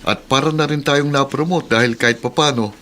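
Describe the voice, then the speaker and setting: A man's voice: medium pitch, a little fast, natural voice. A Filipino man playing an insecure, work-shirking office messenger in a radio drama. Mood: defensive.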